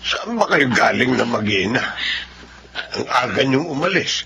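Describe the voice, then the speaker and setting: An actor playing a grumpy, suspicious older father with a gravelly voice in a Filipino radio drama. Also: mid-range voice, big pitch swings, a little slow, over music. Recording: clearly noisy recording.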